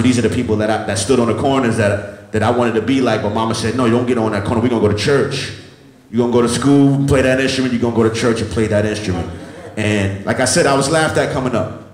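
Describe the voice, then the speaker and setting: Motivational man in his thirties, echoey room. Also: fairly low pitch. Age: 30s. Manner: motivational, repetitive, preacher-like cadence. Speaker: music producer. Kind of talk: telling his life story to a live audience. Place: event hall with an audience.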